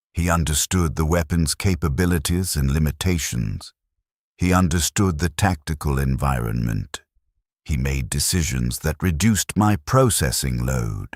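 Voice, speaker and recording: A man reading a scripted first-person war story in a detached, clinical and measured manner. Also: extremely low pitch, no room sound, studio quality. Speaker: narrator of a science fiction war story.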